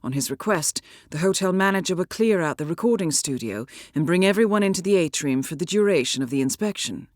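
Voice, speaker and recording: An actress playing a coolly professional handler who briefs an assassin in a video game, speaking in a composed, formal with dry understatement. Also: female voice, fairly low pitch, animated, a little fast, few pauses, darkish tone, dry recording, close to an everyday voice. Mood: calm briefing.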